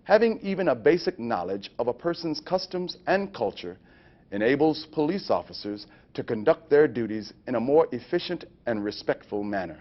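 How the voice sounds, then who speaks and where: A man's voice: medium pitch, lively intonation, moderate pace. A man who works as a chaplain, presenting scripted narration for a training video. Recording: dull, lo-fi training video audio.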